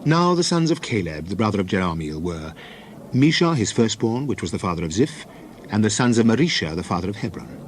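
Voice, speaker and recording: Low-voiced man reading scripture with swooping intonation. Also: big pitch swings, brisk, slight background noise. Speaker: scripture narrator. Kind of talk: dramatized Bible reading.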